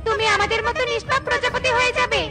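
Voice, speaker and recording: An indignant magical butterfly voiced by a woman for a children's cartoon. The voice is tiny and very high, with a scolding, declamatory delivery. Loud music plays underneath. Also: steady tone, very fast. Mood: stern, scolding. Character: indignant magical butterfly fairy punishing a thief.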